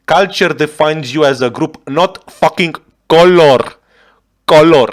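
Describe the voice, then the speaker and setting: A man's voice: a little high, a little slow. A man from Romania speaking English with a Romanian accent as a reaction video host, swearing often and restarting his sentences as he rants in exasperation. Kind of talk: ranting reaction commentary.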